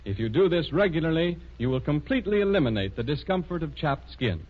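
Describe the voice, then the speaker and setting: A man working as a 1930s radio announcer, reading scripted sponsor copy in a formal, measured way. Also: on the high side, very animated. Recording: muffled 1930s radio recording.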